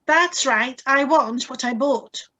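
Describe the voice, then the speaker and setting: A woman plays an excitable wife in a radio suspense play. Her voice is high, and she is stubborn, eager and wide-eyed in her insistence. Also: slow pace, heavily acted. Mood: insistent. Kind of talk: radio drama script reading. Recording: live video-call recording.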